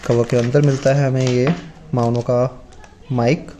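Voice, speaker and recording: Soft-spoken man demonstrating gear. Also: mid-range voice, flat delivery, unhurried, slight background noise. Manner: explains step by step while handling gear. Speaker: motovlogger reviewing gear. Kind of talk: casual unboxing demo. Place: indoors at a table, off camera.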